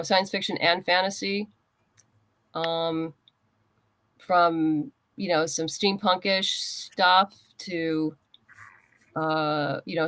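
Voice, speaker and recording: A woman guest in a casual interview, chatting in a halting way as she thinks aloud. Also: on the low side, fairly bright tone, no echo. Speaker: interview guest, comics writer and creator.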